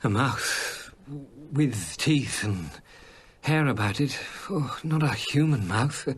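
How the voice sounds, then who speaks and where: An actor playing an anxious, scholarly English gentleman in a radio drama, hesitant and stammering in his politeness. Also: medium pitch, expressive, slow pace, slightly rough, heavily acted. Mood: frightened.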